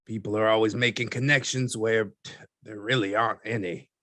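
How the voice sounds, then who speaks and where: A man acts out a folksy newspaperman being interviewed in a podcast drama. His voice sits on the low side and is recorded very dry. He speaks in measured phrases, wryly dismissive and a little condescending. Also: steady tone, fairly bright tone, no room sound, natural voice.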